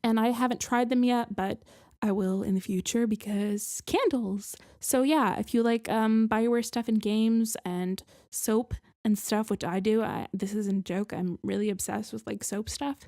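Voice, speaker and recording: A woman's voice: medium pitch, fairly even intonation, normal speed, warm tone, no echo, clean studio sound. A woman co-hosting a podcast in casual chat, rambling and self-deprecating with many restarts. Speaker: podcast co-host.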